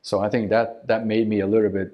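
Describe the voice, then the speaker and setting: Un homme d'une soixantaine d'années, voix plutôt grave, japonais. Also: male voice, on the low side, little pitch movement, fast talker, highs cut off. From Japan. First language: Japanese. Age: 60s. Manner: chatty, laughs often, repeats words. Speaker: guest. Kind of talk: casual conversation.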